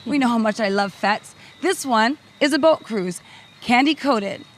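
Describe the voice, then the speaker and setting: A woman's voice: fairly high pitch, unhurried. A woman hosting and interviewing on location with an upbeat, chatty manner. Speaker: host. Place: outdoor party boat. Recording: loud party music and crowd noise. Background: loud music and crowd.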